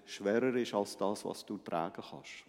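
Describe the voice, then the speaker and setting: A man's voice: on the low side, moderate pace, darkish tone. A man giving a conversational sermon as a preacher, explaining things and often checking in with short tag questions. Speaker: Methodist preacher. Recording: echoey hall with long reverb.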